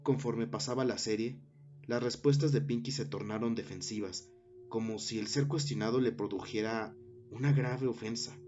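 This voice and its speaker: A man narrating a scary story in a measured way, with dramatic pauses. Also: medium pitch, fairly even intonation, a little mellow. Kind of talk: scripted horror story narration. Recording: dull lo-fi recording with music underneath.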